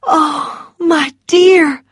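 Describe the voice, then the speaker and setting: A woman narrator acts a kind young Southern wife from a storybook. The voice is pitched high and sounds earnest and urgent, with a dry, close sound. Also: normal speed, a bit bright, no room sound. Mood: distressed sympathy.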